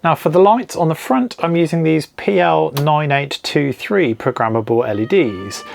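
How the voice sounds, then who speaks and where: A man calmly explaining an electronics build step by step, in a clear, methodical narration. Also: a little high, moderate pace, soft background music. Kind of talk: scripted technical narration.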